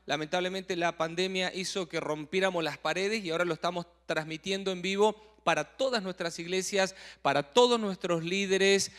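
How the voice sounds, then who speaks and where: A man's voice: sits high, fairly bright tone. A man hosting a live online broadcast in Spanish, speaking emphatically and promotionally. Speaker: event host.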